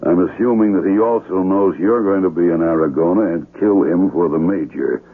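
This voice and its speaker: An actor playing a cold, calculating male spymaster in a 1970s radio drama, deliberate and authoritative. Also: sits low, narrow, tinny audio. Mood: commanding, cynical. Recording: thin, band-limited vintage radio recording.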